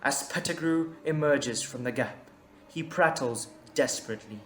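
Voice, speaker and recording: A man's voice: mid-range voice, normal speed. A man narrating a scripted audio drama in a storytelling style. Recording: roomy echo, background music.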